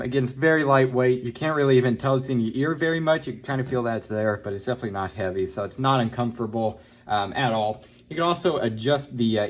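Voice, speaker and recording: A man giving a casual, informal product review, talking in a halting way and hedging his opinions. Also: mid-range voice. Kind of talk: casual unboxing and review talk.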